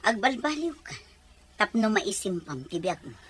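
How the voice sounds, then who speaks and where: A woman's voice: medium pitch, very animated, normal speed, slightly rough. A woman playing a hurt but caring wife and mother in an emotional audio drama. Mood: reproachful, caring.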